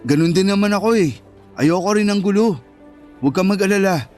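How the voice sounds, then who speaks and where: A man's voice: high-pitched, fairly quick, a little mellow, soft background music, close to an everyday voice. A man playing a protective, fair-minded schoolboy in a Filipino radio drama, with an earnest, reassuring manner. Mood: gentle, reassuring.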